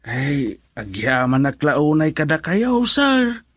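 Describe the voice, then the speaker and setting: Casting: worried elderly grandfather, slowish raspy delivery, expressive, Filipino accent, Ilocano radio drama. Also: fairly high pitch, no echo, exaggerated voice. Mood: grateful. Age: older. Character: anxious, grateful old grandfather.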